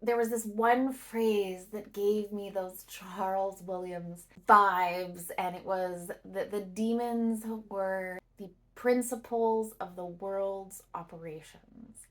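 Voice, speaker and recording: Woman book vlogger, slow and reflective, casual chat with halting pauses, medium pitch. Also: steady tone, fairly bright tone.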